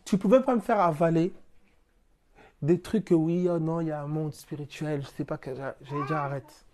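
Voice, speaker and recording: A man's voice: on the high side, fairly even intonation, moderate pace, a little mellow. A man from France giving a spiritual teaching talk, explaining through everyday examples and rhetorical questions in a plainspoken, casual way. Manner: plainspoken, casual turns of phrase.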